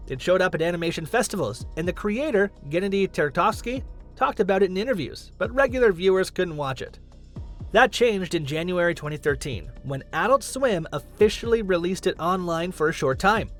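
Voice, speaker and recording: A man's voice: high voice, lively intonation, fairly quick. A man reading scripted countdown narration as the host of a list video. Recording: music under the voice. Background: background music.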